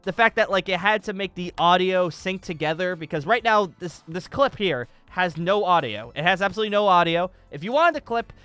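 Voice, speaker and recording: A young man giving a casual, enthusiastic video tutorial, excitable and emphatic, often repeating himself. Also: sits high, brisk, few pauses, clear, resonant tone, no echo. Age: young adult.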